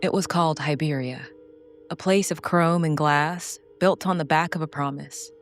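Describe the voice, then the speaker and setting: A woman giving scripted, dramatic narration as a storyteller, solemn and measured in short phrases. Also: low voice, soft background music. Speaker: narrator and solo game player.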